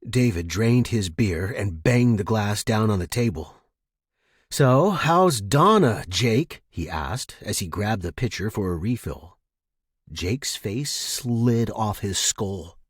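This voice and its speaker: Guy reading a pulp story for a podcast, bit of rasp, really dry recording. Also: fairly low pitch, slow, a little mellow, no echo. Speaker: fiction podcast narrator. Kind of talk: scripted narration of a pulp short story.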